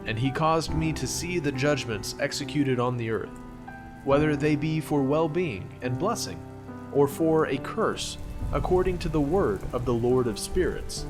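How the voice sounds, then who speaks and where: Male actor as ancient prophet, solemn and measured, mid-pitched, narrating visions over clear background music. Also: natural voice. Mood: solemn, awed. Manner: measured, reverent, archaic storytelling. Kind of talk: dramatized scripture narration.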